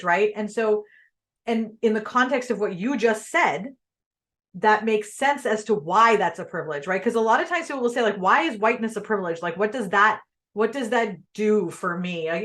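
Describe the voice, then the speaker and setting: Young woman from pakistan hosting, talks fast and loose, lots of "like". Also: mid-range voice. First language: Urdu. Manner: animated and candid, filler-heavy. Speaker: podcast host. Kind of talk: casual interview chat.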